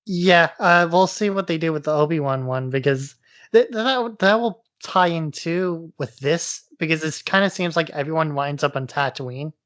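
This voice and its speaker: A man's voice: fairly high pitch, normal speed, a bit bright. Male co-host, casual chat, offhand, informal, opinionated. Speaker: podcast co-host.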